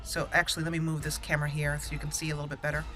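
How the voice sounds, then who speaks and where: A young woman hosting a let's-play, chatting excitedly through a battle and roleplaying dialogue. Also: sits low, very fast, faint music behind. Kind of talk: casual game commentary and roleplay.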